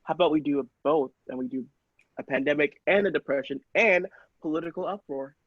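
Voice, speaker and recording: A man's voice: a little high, fairly even intonation, a little fast, no room sound, close to an everyday voice. A man playing a smug, scheming angel in an improvised comedy, recorded with a dull sound. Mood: eager, cheerful. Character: smug, scheming favorite angel, secretly evil.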